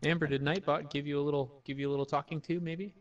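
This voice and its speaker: A man chatting casually as a stream guest, telling stories in an animated way and acting out what people said. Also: fairly high pitch, fast talker, muffled recording. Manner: animated storyteller, laughs and acts out quotes. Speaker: guest on a live stream chat. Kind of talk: casual chat.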